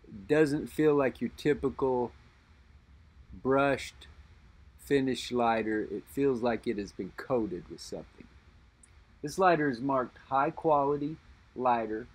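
A middle-aged man speaks at an unhurried, deliberate pace, thinking aloud about an old lighter in his hand. His voice sits at an ordinary middle pitch. He pauses and backtracks as he weighs what he sees. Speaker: lighter collector and reviewer. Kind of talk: unscripted show-and-tell narration.

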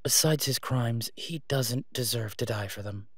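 Man acting as male vigilante antihero, sardonic, exasperated, protective, clipped weary delivery, medium pitch, audio roleplay, very dry recording. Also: a little slow, dry recording, lightly acted. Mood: resigned, firm. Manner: sarcastic, weary, clipped, emphatic when irritated.

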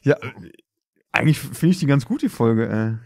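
A man's voice: mid-range voice, lively intonation, moderate pace, vocal fry, dry recording, clean studio sound. A man co-hosting a podcast in casual chat, searching for words as he goes. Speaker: podcast co-host.

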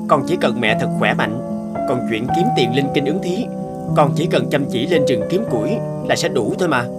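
A man's voice: medium pitch, expressive, moderate pace, over loud music, natural voice. A man playing a kind, earnest poor young scholar in a cartoon fairy tale. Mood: tender, reassuring. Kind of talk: cartoon voice acting.

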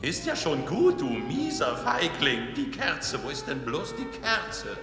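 A man's voice: fairly high pitch, wildly swooping pitch, slow, roomy sound, exaggerated voice. A man voicing a scheming, short-tempered, fawning evil wizard in a cartoon dub, theatrical and gloating. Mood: grumbling. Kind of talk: cartoon villain dubbing. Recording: music behind the voice.

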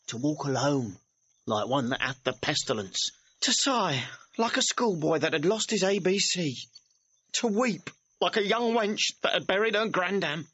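An actor playing a cheeky, quick-witted young manservant in a classical audio drama, impudent and mock-deferential. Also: male voice, high voice, sharp tone, breathy edge, no room sound, heavily acted. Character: cheeky, quick-witted young servant mocking his lovesick master. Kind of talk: classical stage-style audio drama acting. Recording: dull, band-limited vintage audio recording.

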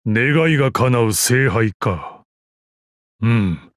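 An actor playing a proud, battle-hardened old martial arts master in a game, with gruff dignity, dry amusement and archaic old-man speech. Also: mid-range voice, animated, drawn-out words, dry recording. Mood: musing, composed. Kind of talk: Japanese mobile game character voice lines.

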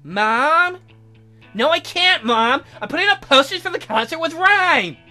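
Woman doing a loud, brash punk girl. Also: sits high, animated, a little slow, soft background music, voice pushed up, full character voice. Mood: exasperated. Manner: loud, cheeky, exasperated. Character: brash rebellious young punk girl musician.

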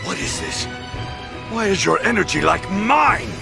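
An actor playing a proud, hot-tempered alien warrior prince in an anime fighting game, gruff and haughty in delivery. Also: male voice, sits high, very animated, measured pace, music in the background, full character voice. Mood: wary. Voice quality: gruff, gravelly. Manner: haughty, forceful. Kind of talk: anime game battle acting.

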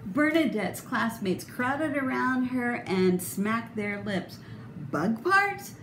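A woman in her fifties reading aloud to children expressively, with deliberate, dramatic emphasis. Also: a little high. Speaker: storybook reader. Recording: roomy, noticeable background noise.

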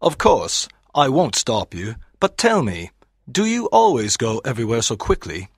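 A man playing a determined, scheming, smooth-talking detective in a dramatized audiobook, with an insinuating, theatrical manner. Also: mid-range voice, huge pitch swings, moderate pace, no room sound, studio quality. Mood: friendly, eager.

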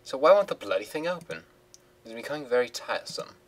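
A man playing a dry, sardonic cat burglar trapped in a house. He speaks low and unhurried, flat and deadpan. The voice stays close to the man's own. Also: recorded from a distance, slight background noise, lightly acted.

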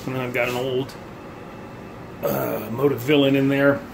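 A man's voice: mid-range voice, level tone, normal speed, gravelly, noisy background. A man in his thirties casually demonstrating a homemade gadget in his kitchen, thinking aloud with plenty of ums and uhs. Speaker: bowling hobbyist vlogger. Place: home kitchen, indoors. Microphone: phone held at arm's length.

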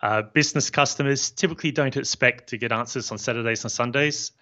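A man in his fifties with a New Zealand accent, a software founder calmly explaining his business in an interview. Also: mid-range voice. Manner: measured and friendly, explains plainly. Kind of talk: casual interview chat. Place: home office room. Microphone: studio mic on boom arm, headphones. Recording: slightly dull lo-fi audio.

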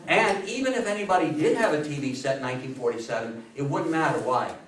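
An American man in his sixties giving an informal, animated talk to an audience, repeating phrases for emphasis. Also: a little high, quick pace. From United States. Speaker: public speaker on radio history. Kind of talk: informal live lecture to an audience. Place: small meeting room, indoors. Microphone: far camera microphone. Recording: roomy, noisy, distant camera microphone.

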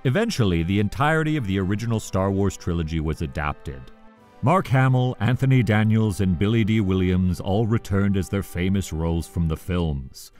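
Male video essay narrator, low voice, very varied intonation, emphatic, scripted, faint music behind. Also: animated, normal speed, darkish tone. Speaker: presenter of a countdown video.

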